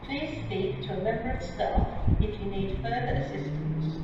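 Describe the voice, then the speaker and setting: A woman's voice: mid-range voice, expressive, normal speed, distant mic, dull audio. A woman with a British accent making formal, automated railway platform announcements in segmented phrases over a station's public address system. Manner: formal, segmented phrasing pieced from recorded fragments. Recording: echoey station tannoy from afar, loud noise. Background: station ambience, train and vehicle noise.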